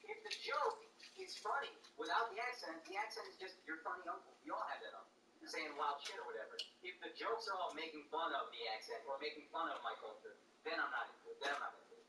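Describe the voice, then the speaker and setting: A quick-talking man with a bright, slightly high voice, hosting a casual chat in a frank, salty way. He sounds a bit off the mic, in a roomy space with faint background noise. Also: huge pitch swings, distant mic. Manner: frank, chatty, swears freely. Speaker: comedian host and actor.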